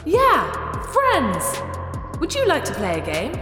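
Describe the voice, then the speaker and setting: A woman's voice: high-pitched, wildly swooping pitch, takes it slowly, full character voice. A woman playing a playful, menacing artificial intelligence in a science fiction audio drama, with childlike, theatrical taunting. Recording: echoey, long reverb, music behind.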